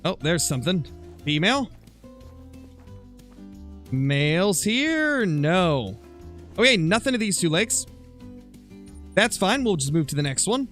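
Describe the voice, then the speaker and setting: A man with a somewhat high-pitched voice talks casually on a gaming livestream. He thinks aloud in a conversational way while replying to viewers. Faint music plays behind him. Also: big pitch swings, slow, pauses often, fairly bright tone. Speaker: live streamer, gamer. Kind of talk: casual livestream chat over gameplay, answering viewer comments.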